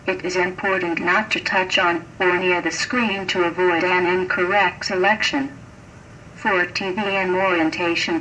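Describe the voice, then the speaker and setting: Bright robotic female ticket machine voice. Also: fairly low pitch. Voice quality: synthetic, robotic. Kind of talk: scripted automated audio instructions. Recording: noisy distant machine speaker.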